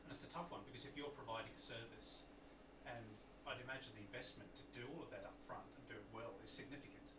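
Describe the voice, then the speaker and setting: A man's voice: medium pitch, quick pace, distant mic. A man in the audience at a presentation, casually offering a comment and question, recorded away from the microphone in a noisy, echoey room. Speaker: audience member asking a question.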